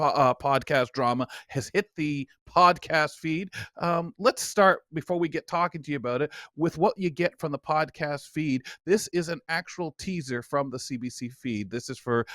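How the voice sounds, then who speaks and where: A man hosts a conversational radio show. His voice sits a little high and is recorded close and dry. He rambles in a folksy, emphatic way. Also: fairly bright tone, no room sound.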